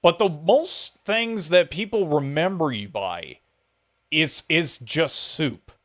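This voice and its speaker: A man hosts a podcast interview in a casual, chatty way. His voice sits at an ordinary middle pitch. He speaks with informal enthusiasm, like a fan talking to someone he follows. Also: lively intonation, takes it slowly, fairly bright tone. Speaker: podcast host interviewing a guest.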